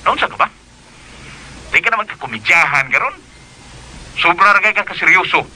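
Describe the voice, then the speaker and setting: A man playing a playful, teasing best buddy in a radio drama, with an animated delivery. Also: high voice, normal speed, full character voice, rough recording. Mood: amused teasing. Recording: faint noise behind the voice.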